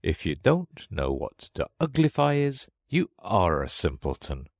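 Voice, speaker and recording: A man plays a blunt, bossy Gryphon from a children's storybook. The beast talks in rough, uneducated turns of phrase and scolds impatiently, its pitch jumping about with emphasis. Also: wildly swooping pitch, unhurried, no echo, clean studio sound, heavily acted. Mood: scolding. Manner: blunt, rustic working-class diction. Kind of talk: storybook character reading.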